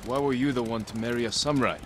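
An actor playing a brooding, loyal samurai in a video game, measured and serious as he questions his companion. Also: mid-range voice, fairly even intonation, background noise, natural voice. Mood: serious, probing. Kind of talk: dramatic game voice acting. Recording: game ambience and hoofbeats over voice. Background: horse hoofbeats, outdoor ambience.

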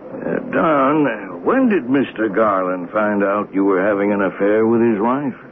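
A man's voice: lowish voice, animated, unhurried. An actor playing an earnest train conductor in a 1970s radio mystery, telling his story reflectively and questioning pointedly. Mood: pressing, probing. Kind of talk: 1970s radio drama acting, narration and dialogue. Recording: noisy, muffled phone-like 1970s radio broadcast.

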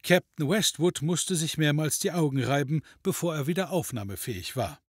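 A man with a husky voice giving dramatic scripted narration with dry comic touches, as an audio drama narrator. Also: a little high, expressive, normal speed, no echo.

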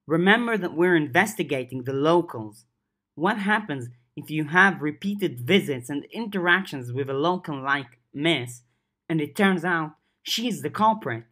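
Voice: medium pitch, expressive, measured pace, fairly bright tone. Narrator as a blunt, practical teenage girl. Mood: wary. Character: brisk, practical, wary teenage girl leader.

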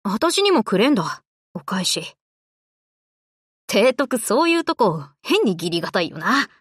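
A woman voicing a gruff tomboy warship girl in an anime game, blunt and nonchalant. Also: medium pitch, big pitch swings, unhurried, no echo, clean studio sound. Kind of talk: anime game character voice acting.

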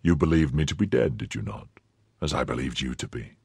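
Man playing a brooding nobleman, very deep voice, quiet, slow, menacing, husky. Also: lively intonation, no echo, studio quality, heavily acted. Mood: coldly menacing. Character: stern brooding male nobleman, cold and grave.

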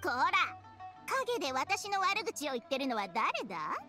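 A woman's voice: high-pitched, big pitch swings, measured pace, pushed and bright, faint music behind, full character voice. A woman voicing a haughty, teasing talking snake in an anime game. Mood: indignant. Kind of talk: Japanese anime game voice acting.

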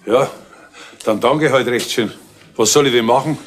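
A blunt retired inspector, played by a man. He talks gruffly and plainly, with a middling pitch. It sounds like a 1970s radio crime play. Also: moderate pace, lightly acted. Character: retired male police inspector Wanninger, stubborn and blunt.

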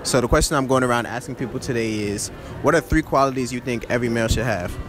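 A young man interviews strangers in a busy mall, talking quickly in slang and keeping the exchange upbeat. His voice sits at an ordinary pitch, and he speaks close into a handheld phone while music plays in the background. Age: young adult. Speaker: street interviewer. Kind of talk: casual street interview. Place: indoor shopping mall. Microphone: phone held to mouth.

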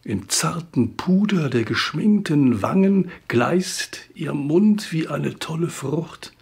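A man recites poetry in a husky voice with a gravelly creak. He goes very slowly, setting each phrase apart with weighted pauses and expressive emphasis. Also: a little high. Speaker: poetry reader.

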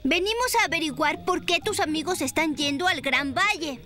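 A woman with a Latin American Spanish accent voicing an earnest young dinosaur child in a cartoon dub, eager and expressive. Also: sits high, normal speed, faint music behind.

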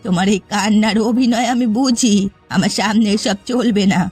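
A woman's voice: medium pitch, moderate pace, darkish tone, breathy edge, soft background music, natural voice. A woman voicing a stern, suspicious middle-aged mother-in-law in a cartoon story, with a blunt, haughty manner. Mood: accusing, cold. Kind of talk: cartoon story character acting.

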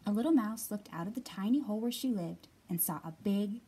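A woman in her thirties presenting a children's storytime as a librarian, talking simply to small children with drawn-out words and thoughtful hums. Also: mid-range voice, expressive, mellow. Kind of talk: children's storytime teaching, read-aloud. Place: indoors in a library.

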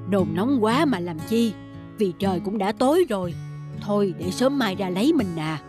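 An actor playing a kind, honest, easygoing peasant husband in an animated folk tale, speaking earnestly. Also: male voice, high-pitched, normal speed. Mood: calm, reassuring. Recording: music behind the voice.

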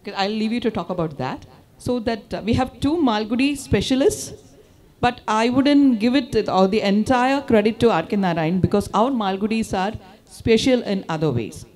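A middle-aged woman with a fairly high voice moderates a literary panel outdoors. She speaks into a handheld mic, introducing the panelists with many fillers. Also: moderate pace, darkish tone. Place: outdoor festival stage. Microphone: handheld microphone.

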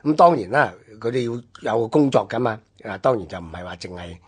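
A man's voice: fairly low pitch, lively intonation, brisk, husky. A man with a Hong Kong Cantonese accent explaining things conversationally, as a commentator on a radio history programme.

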